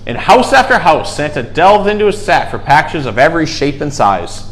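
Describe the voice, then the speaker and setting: A man with a slightly high voice reads a children's story aloud. His delivery is very animated, swinging up and down in pitch with dramatic emphasis on key words. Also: normal speed, poor audio. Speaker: storyteller and zookeeper host.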